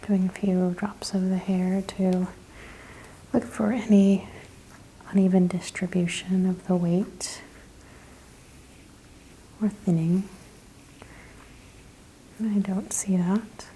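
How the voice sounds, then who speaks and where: Asmr lady whispering a spa exam roleplay, soft n breathy, mellow, real gentle and slow, bit of noise behind. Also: medium pitch, flat delivery, low-quality recording. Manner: slow, gentle, step-by-step narration of actions. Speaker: ASMR performer playing a spa practitioner.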